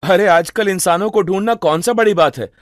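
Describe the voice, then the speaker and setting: A man's voice: high voice, a little fast, dry recording, lightly acted. Man playing eager, helpful young brother, dry sound. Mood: enthusiastic. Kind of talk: storybook cartoon dialogue.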